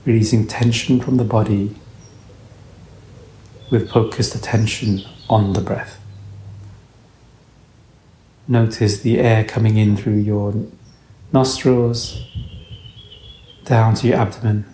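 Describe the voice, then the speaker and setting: Slow, low-voiced man guiding meditation. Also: fairly even intonation. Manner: soothing, gentle instruction in short phrases. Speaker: meditation guide. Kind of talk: guided meditation narration. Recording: roomy echo, faint background noise.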